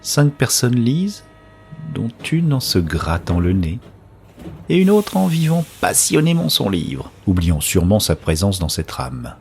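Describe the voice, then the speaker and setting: Low male voice, actor as introspective sci-fi storyteller, literary, observant, gently wry, audio drama, background noise. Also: wildly swooping pitch, a little slow, vocal fry, heavily acted. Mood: pensive, observant. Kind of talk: first-person audio fiction narration.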